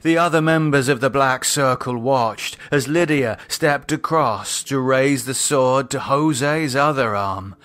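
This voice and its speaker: Slow, measured male horror narrator. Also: mid-range voice, a bit bright. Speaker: audiobook narrator. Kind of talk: scripted horror novel narration.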